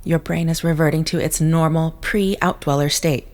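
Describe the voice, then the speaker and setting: An actress plays a stern woman in an audio drama with a notably low voice. She speaks formally and with measured detachment, as if issuing clinical verdicts. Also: fairly even intonation, a little mellow, natural voice. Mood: cold, firm. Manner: formal, measured, unemotional diction. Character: stern, coolly formal woman once mentally linked to another.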